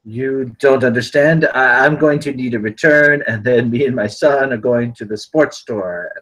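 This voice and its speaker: An actor playing a gruff, irritable working father at a script table read, stammering and curt. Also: mid-range voice, normal speed, a bit bright, natural voice, poor audio. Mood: frustrated.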